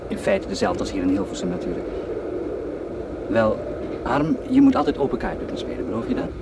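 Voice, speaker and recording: Radio play doctor: fatherly but evasive, gravelly, extremely animated pitch, heavy noise behind. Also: heavily acted. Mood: awkward, warning. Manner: halting, insinuating, roundabout. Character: awkward, evasive male doctor giving fatherly warnings. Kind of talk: radio drama acting.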